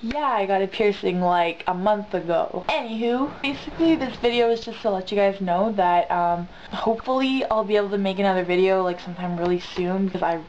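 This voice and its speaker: A woman's voice: medium pitch, brisk, few pauses, a little mellow. A young woman chats casually to camera in a rambling, slangy way, her nose congested from being sick. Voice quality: stuffed-up, congested. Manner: rambling, emphatic repetition, slangy. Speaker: vlogger. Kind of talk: casual, chatty vlog to camera. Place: small bedroom, indoors on a bed. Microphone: built-in camera mic at arm's length. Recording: dull lo-fi camera sound, light noise.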